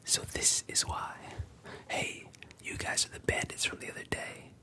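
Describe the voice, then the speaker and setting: A storyteller voicing a calm adult male pirate captain in a soft, breathy whisper. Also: a little slow. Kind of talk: whispered ASMR story retelling.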